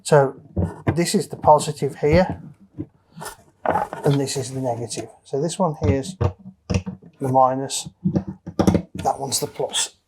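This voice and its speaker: A man presents a hands-on DIY tutorial in a casual, matter-of-fact way. His voice sits at a middling pitch, and he talks through each small step as his hands do the job. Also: slow, pauses often, a little mellow, a little hiss or hum. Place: indoor electronics workbench.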